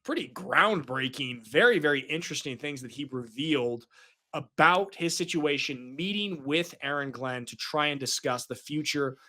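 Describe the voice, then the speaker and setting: A man in his forties leads a casual sports podcast, with a bright, forceful voice pitched a little high. He jokes, rambles with fillers and keeps the chat moving. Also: expressive, moderate pace. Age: 40s. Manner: joking, chatty, rambling with fillers. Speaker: podcast host.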